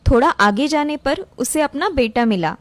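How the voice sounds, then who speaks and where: High-pitched woman narrating a cartoon fable. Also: expressive, normal speed, dry recording. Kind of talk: scripted moral-story narration for cartoon.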